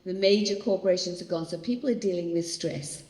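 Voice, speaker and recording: An older woman pastor from Australia giving an animated, storytelling church talk on coping with stress. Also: fairly low pitch, brisk. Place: large hall. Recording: echoey hall, long reverb.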